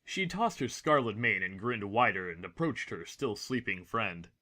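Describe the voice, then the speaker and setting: A man's voice: on the low side, lively intonation, moderate pace, bright, forceful tone. A man reading scripted, dramatic story narration as the narrator of an audio drama.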